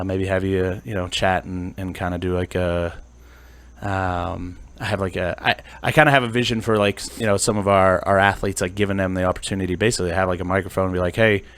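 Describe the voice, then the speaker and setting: A man's voice: deep voice, fairly bright tone, clear, resonant tone. A man from central Pennsylvania hosting a podcast, upbeat and friendly, chatting casually with lots of fillers. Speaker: podcast host. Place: studio.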